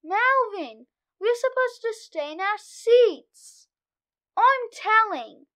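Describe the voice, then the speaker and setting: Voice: very high voice, animated, slow pace, sharp tone, exaggerated voice. A storybook reader voicing a prim, tattling young boy frog who minds the rules in a children's comic. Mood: scolding.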